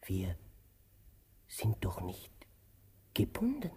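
A man acting a weary, childlike tramp in a radio play, plaintive and halting, swinging abruptly from murmur to outcry. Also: low voice, wildly swooping pitch, dark tone, husky, full character voice. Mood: puzzled, subdued. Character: weary, childlike, querulous tramp, needy and forgetful. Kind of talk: theatrical radio play dialogue.

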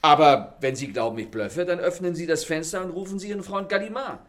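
An actor playing a self-assured, courteous and mocking gentleman thief in a German radio play, with polished theatrical manners. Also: fairly high pitch, fairly quick, lightly acted. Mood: smug, teasing.

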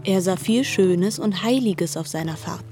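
A woman's voice: mid-range voice, measured pace, dark tone, soft background music. A woman narrating scripted literary prose as part of a radio drama.

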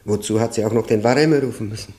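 An actor playing a tormented, bitter man of forty-five, haunted by guilt, halting and brooding in a German radio play. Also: fairly low pitch, darkish tone, natural voice.

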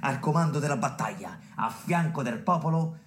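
An actor playing an earthy, streetwise storyteller with a Roman accent, telling a tale dramatically in radio theatre. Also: male voice, on the high side, animated, normal speed, lightly acted. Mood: dramatic, earthy. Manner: dramatic, colloquial. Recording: very noisy, clicks.

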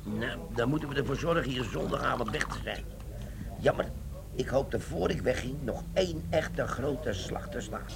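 A man's voice: mid-range voice, moderate pace, creaky, a crowd in the background, natural voice. A male actor in a Dutch comic radio play, playing a cheeky, glib, scheming army sergeant.

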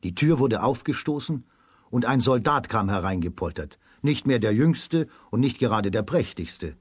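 A man narrating a children's radio play as a scripted storyteller, with a husky voice. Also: mid-range voice, lively intonation, moderate pace, no echo, clean studio sound.

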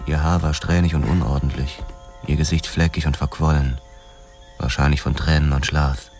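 Noir audio drama lead: brooding investigator, bass register, restrained and grave, clearly scored with music. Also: male voice, level tone, moderate pace, darkish tone. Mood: sombre, reflective. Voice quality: very deep. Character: brooding, hard-boiled male investigator narrating his own story. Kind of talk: noir radio drama narration and dialogue.

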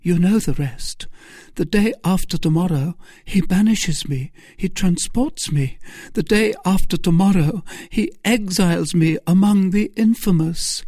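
A man's voice: sits high, measured pace, dark tone, no room sound, pitched above the performer's own voice. A man voicing a desperate, scheming captive noblewoman in a melodramatic, imploring manner for a storybook. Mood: anguished, dramatic.

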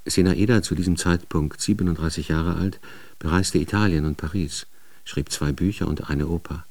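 A man acting as the narrator of a radio drama, setting historical scenes in a measured, factual manner. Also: low voice, steady tone, darkish tone, dry recording. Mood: factual, descriptive.

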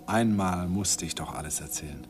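An actor narrating as a man recalling his young love, in a hushed, reflective voice, in an old radio recording. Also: low-pitched, normal speed, over music, natural voice. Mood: wistful. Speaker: narrator and young German student Andi. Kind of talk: 1950s German radio drama narration. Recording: faint hiss of an old 1951 radio recording.

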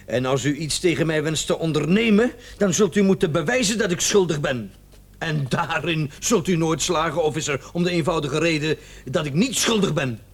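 A smug doctor under suspicion in a radio play, his voice on the higher side. He is sardonic and theatrical. His delivery mocks and parries his questioner. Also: lively intonation, moderate pace, husky. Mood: defiant. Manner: sardonic, theatrical, sometimes stammering in feigned indignation. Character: smug, mocking male doctor suspected of murder.

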